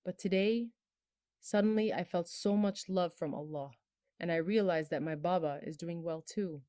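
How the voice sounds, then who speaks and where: A woman in her twenties from Bangladesh, telling her personal story in a soft, earnest, emotional way, her phrases trailing off low. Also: normal speed, no room sound, studio quality. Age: 20s. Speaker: storyteller sharing a personal testimony. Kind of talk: personal testimony.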